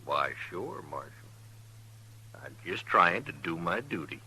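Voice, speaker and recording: A menacing hired gunman from a 1950s radio western. His voice is low and sharply forceful. He drawls his folksy lines with smug insolence. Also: animated, measured pace, husky, heavily acted, low-quality recording. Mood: sly, insolent.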